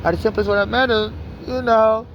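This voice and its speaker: A woman's voice: on the high side, fairly even intonation, a little fast, muffled recording, a little hiss or hum. A woman from Nigeria with a mixed Nigerian and American accent, talking urgently in a rambling street monologue full of restarts and "you know". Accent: Nigerian-American mix.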